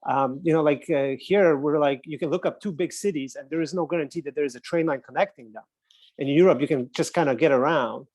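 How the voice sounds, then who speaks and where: Brief: easygoing male guest, a bit high-pitched, dry close mic. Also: brisk, a little mellow, dry recording. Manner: easygoing, lots of "like". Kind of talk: casual podcast chat.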